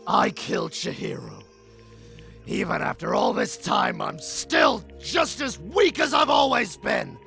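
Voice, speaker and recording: A man acts out a hot-tempered young tough weighed down by guilt. He speaks slowly and haltingly, with a hugely swinging pitch and a gravelly edge, in intense anime-dub style. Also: wildly swooping pitch, sharp tone, full character voice. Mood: guilt-stricken. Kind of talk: dramatic anime-style fandub acting. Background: faint music behind the voice.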